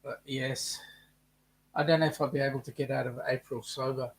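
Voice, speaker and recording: A man's voice: medium pitch, flat delivery, brisk, breathy edge, rough recording. A man co-hosts a casual live chat. He keeps up playful, joking banter in an ordinary mid-range voice. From Australia. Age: late 50s. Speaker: co-host.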